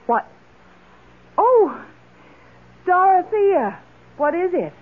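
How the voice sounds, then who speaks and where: A woman's voice: extremely high pitch, big pitch swings, extremely slow, exaggerated voice. An actress playing a wealthy, generous, effusive lady of the house in an old-time radio drama, speaking in a theatrical, exclamatory way. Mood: flustered. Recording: muffled lo-fi radio drama recording.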